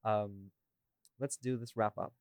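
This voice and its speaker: A man's voice: fairly low pitch, fairly even intonation, slow pace, a little mellow, no room sound, studio quality. A man hosting a casual behind-the-scenes podcast chat in a rambling, informal way. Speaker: podcast host and audio creator.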